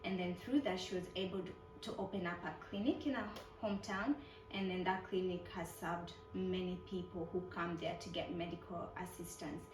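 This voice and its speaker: A woman's voice: fairly low pitch, fairly even intonation, moderate pace. A woman from Kenya, a nurse, answering interview questions in a hesitant, casual way. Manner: hesitant, with frequent ums and restarts. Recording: echoey room, distant mic, music behind.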